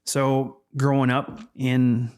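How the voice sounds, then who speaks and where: A man in his fifties from Texas, Spanish his first language, talking in a measured, reflective way as a podcast guest. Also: medium pitch, completely flat delivery, a little mellow, clear, resonant tone. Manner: measured, reflective, with frequent fillers. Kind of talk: casual interview chat.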